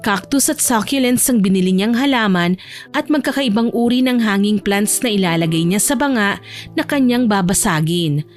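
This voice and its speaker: A woman's voice: medium pitch, expressive, moderate pace, faint music behind. A woman narrating a scripted romance story as an audiobook-style narrator.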